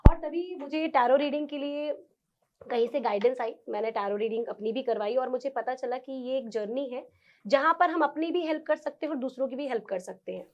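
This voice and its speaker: Lady with a high voice explaining stuff earnestly in an interview, kinda muffled lo-fi audio. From India. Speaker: interview guest, tarot reader and Reiki practitioner; government school teacher. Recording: dull lo-fi sound.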